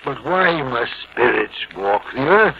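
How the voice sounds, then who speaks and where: An older actor playing a frightened, querulous old miser in a 1950s radio drama, halting and stammering theatrically. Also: male voice, medium pitch, sing-song, highs cut off, slight background noise. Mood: frightened, pleading.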